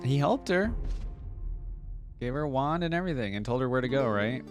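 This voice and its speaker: A man's voice: medium pitch, expressive, a little fast, over music. A man giving casual reaction commentary, chatty and curious, thinking aloud as he goes. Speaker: reaction video host.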